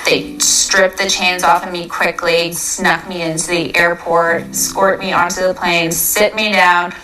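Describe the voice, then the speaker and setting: A woman's voice: lowish voice, little pitch movement, measured pace, a crowd in the background. A woman and former detainee, giving earnest, urgent interview testimony. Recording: echoey, distant mic with music.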